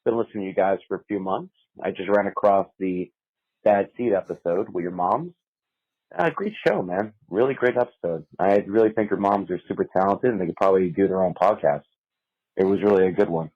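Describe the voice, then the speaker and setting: A man leaving a casual phone voicemail as a listener, his voice a little rough. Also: sits low, fairly quick, highs cut off.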